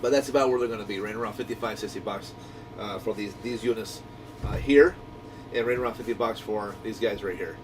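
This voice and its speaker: A man giving a casual, rambling product review in a conversational, enthusiastic way, like a shop owner talking to viewers. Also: brisk. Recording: distant mic, noisy low rumbling hum.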